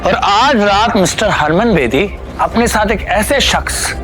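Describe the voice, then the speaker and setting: Sneering high-pitched rich rival. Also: male voice, animated, measured pace, fairly bright tone, faint music behind, rough recording. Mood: boastful. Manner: sneering, theatrical. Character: mocking, menacing rich male rival. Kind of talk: Hindi audio series acting.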